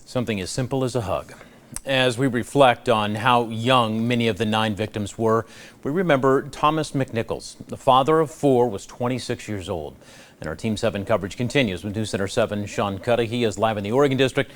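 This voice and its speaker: A man's voice: mid-range voice, brisk. A man with an American accent reading scripted broadcast news as an anchor.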